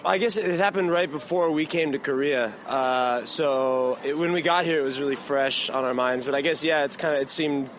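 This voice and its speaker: A man's voice: fairly high pitch, normal speed, hardly any pauses, fairly bright tone. A man from Florida, answering a street interview casually with many fillers. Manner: casual, hesitant, lots of fillers. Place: city street outdoors. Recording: street recording with traffic noise.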